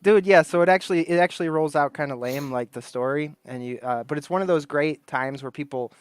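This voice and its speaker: Brief: man, casual podcast co-host, fairly high voice, dry close sound, loose rambling storyteller who laughs a lot. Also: a little fast, clean tone, dry recording. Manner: rambling storyteller, laughs often, acts out quotes.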